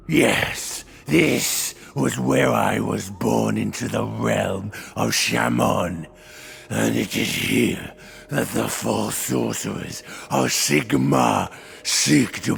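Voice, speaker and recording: An actor playing an imperious, scheming demon of plague and rot in a video game, with a gravelly croak and a commanding, theatrical delivery. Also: male voice, fairly low pitch, extremely slow, a bit bright, exaggerated voice. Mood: angry, imperious. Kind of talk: video game cutscene acting.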